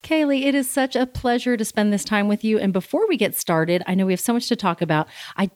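A woman's voice: mid-range voice, fast talker, a little mellow, no echo, studio quality. A woman hosting a podcast from her office, upbeat and effusive, moving from a scripted intro into casual interview chat. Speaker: podcast host.

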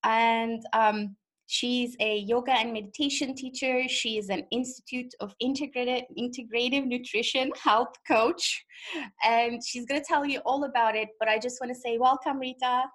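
A woman's voice: on the high side, steady tone, moderate pace, a bit bright. Upbeat woman podcast host. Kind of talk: podcast interview.

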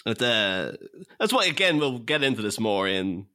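A man's voice: lowish voice, big pitch swings, moderate pace, sharp tone. A man talking casually about films as a podcast guest, explaining things in a rambling, conversational way. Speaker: podcast guest, co-host of a film podcast. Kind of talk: casual film discussion.

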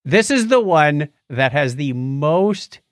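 A man's voice: on the high side, lively intonation, slow pace, no echo, clean studio sound. A middle-aged or older man co-hosting a podcast, casually reviewing things in a rambling way and groping for names. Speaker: podcast co-host. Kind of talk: casual movie and book review chat.

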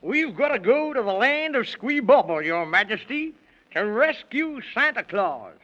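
Very high, earnest storybook companion. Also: male voice, big pitch swings, unhurried, fairly bright tone, heavily acted. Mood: earnest, worried. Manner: earnest, old-fashioned rhyming speech. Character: earnest, courteous storybook traveling companion, speaking in rhyme. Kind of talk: 1930s children's radio serial acting. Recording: muffled 1930s radio recording.

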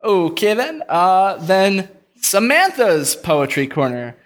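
A man's voice: high-pitched, big pitch swings, slow pace. A man hosting a live old-time-radio-style comedy show as a mock-exasperated straight man. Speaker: sketch show announcer. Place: live show in a comic book shop. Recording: echoey live room.

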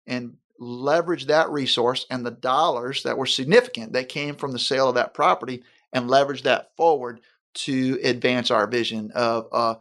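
Earnest pastor, measured and deliberate. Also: male voice, mid-range voice, fairly bright tone. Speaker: senior pastor. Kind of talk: conversational interview.

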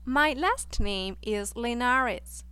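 Woman, 20s, from Peru, Spanish native, careful short answers, slightly high, clear tone. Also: lively intonation, drawn-out words, bright, forceful tone, no echo. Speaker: interviewee in a practice dialogue.

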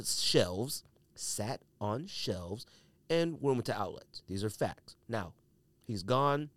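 A man's voice: fairly low pitch, no room sound. An American man hosting a solo podcast, giving opinionated commentary in slangy, colloquial speech and repeating phrases for emphasis. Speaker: podcast host.

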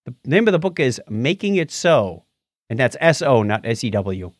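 A man's voice: medium pitch, very animated, normal speed, no room sound, studio quality. A man co-hosting a podcast, mixing casual banter with read-out items and jokey wordplay asides. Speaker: podcast co-host. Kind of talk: casual banter mixed with reading listener mail and history items.

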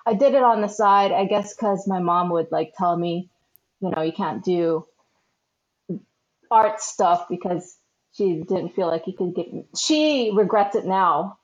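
A woman's voice: on the low side, unhurried. A woman born in the Philippines, a guest chatting casually in an interview, with lots of fillers and easy laughs. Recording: slightly dull lo-fi sound.

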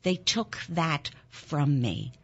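Low-voiced woman telling a painful story. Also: very animated, slow pace, slightly rough, lo-fi sound. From Germany. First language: German. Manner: earnest, intense, rises sharply when moved. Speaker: interview guest, nonprofit founder. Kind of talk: emotional personal storytelling in an interview. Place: Philadelphia.

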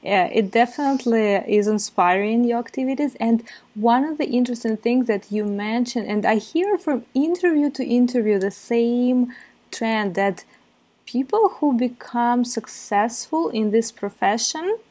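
A woman hosts a podcast interview. She speaks politely and encouragingly, with "uh" pauses as she frames her questions. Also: on the high side, expressive, mellow. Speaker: podcast host.

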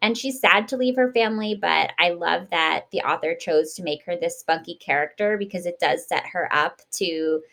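A woman hosts a casual book discussion. Her voice sits a little low and carries a clear creak. She talks in a chatty, expressive way and leans on her words for emphasis. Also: normal speed, hardly any pauses. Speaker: podcast host.

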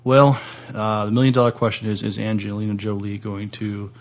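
A man's voice: lowish voice, flat delivery, brisk. A man co-hosting a podcast in casual discussion, speaking hesitantly in short pieces. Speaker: podcast co-host.

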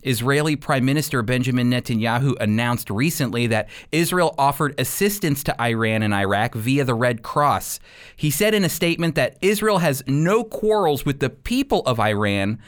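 Guy doing radio bible teaching, really lively up and down pitch, leans hard on key points. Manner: emphatic, repeats key points for stress.

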